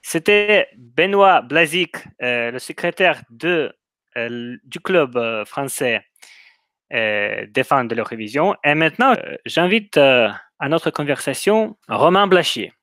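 A man's voice: on the high side, expressive, fairly bright tone, dry recording, studio quality. Hesitant man hosting a video call. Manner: slow, hesitant, many fillers. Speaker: host and moderator. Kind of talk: moderating a video call debate. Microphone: headphones on video call.

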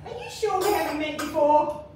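A woman's voice: high voice, animated, normal speed. A woman playing a blunt, sarcastic Dorothy in an amateur pantomime. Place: community room with seated audience, far camera. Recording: distant, echoey, noisy hall recording with crowd.

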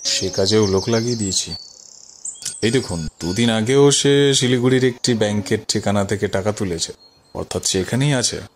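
An actor playing a dutiful, deferential police officer reporting respectfully in an audio drama. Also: male voice, on the low side, moderate pace, a little hiss or hum, close to an everyday voice. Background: music.

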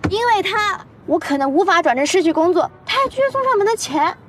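A woman in her twenties playing a spirited, cajoling young employee in a TV drama, expressive and chatty, quick to grumble. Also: very high-pitched, slight background noise. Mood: indignant. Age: 20s. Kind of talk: naturalistic TV drama acting.

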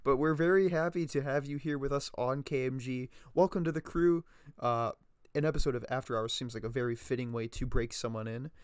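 A man's voice: medium pitch, brisk, smooth, no room sound, clean studio sound. A man hosting a podcast in a casual, chatty style. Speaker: podcast host.

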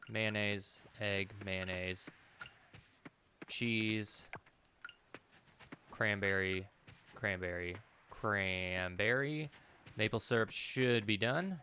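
Man thinking aloud, slow and bright. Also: on the low side, slight background noise. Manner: muttering to himself, hesitant, repetitive. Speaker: game streamer. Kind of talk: casual gameplay commentary, thinking aloud. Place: indoor room, streaming at a computer.

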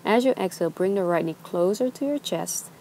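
A young woman teaching a gentle yoga class, giving slow, soothing instructions. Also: mid-range voice, slight background noise. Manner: calm, slow, soothing cues. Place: outdoors on a beach.

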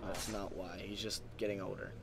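A man's voice: on the low side, flat delivery, moderate pace. A young man hosting a casual gaming video, teasing his friend with a light, youthful-sounding voice. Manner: teasing, laughing. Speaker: host. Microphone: shared room mic.

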